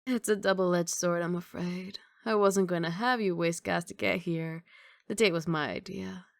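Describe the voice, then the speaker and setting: A woman's voice: lowish voice, steady tone, moderate pace, gravelly, no room sound, clean studio sound. A woman playing a confident, doting young mafia boss girlfriend in an intimate, teasing ASMR romantic roleplay. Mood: playful teasing. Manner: playful teasing, intimate one-sided conversation.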